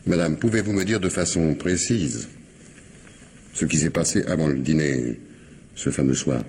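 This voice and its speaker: An actor playing a polite but insistent police commissioner in a radio mystery, questioning deliberately with hesitations and repeated words. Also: male voice, very deep voice, darkish tone, a little hiss or hum. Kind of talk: French radio mystery drama acting.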